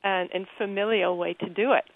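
A woman being interviewed about her book, talking thoughtfully with frequent pauses and restarts. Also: mid-range voice, steady tone, no room sound. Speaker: guest author. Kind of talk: interview conversation. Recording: tinny phone-like line.

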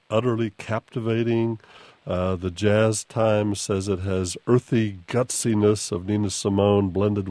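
Man, radio host, low voice, dry studio sound, polite, easygoing. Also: no echo. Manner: relaxed, courteous, with frequent uh fillers. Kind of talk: radio interview.

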